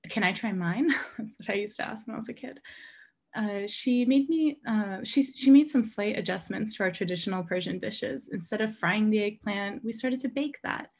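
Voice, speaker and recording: A woman's voice: medium pitch, moderate pace, gravelly. Earnest young woman reading a heartfelt talk. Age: young adult. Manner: earnest, heartfelt, carefully read delivery. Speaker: public health graduate student presenter. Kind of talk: prepared personal presentation. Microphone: video call.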